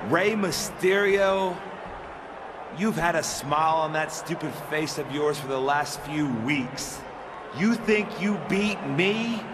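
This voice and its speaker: An actor playing an arrogant, obsessive young wrestling villain in a video game, taunting and menacing. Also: male voice, a little high, takes it slowly, background noise. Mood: smug, threatening. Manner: taunting, menacing promo delivery.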